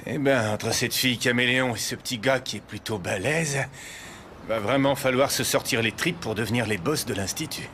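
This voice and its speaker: A man's voice: medium pitch, normal speed, background noise, lightly acted. A man voicing a blunt, dismissive young delinquent sidekick in a French anime dub. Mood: surprised. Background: loud anime music score.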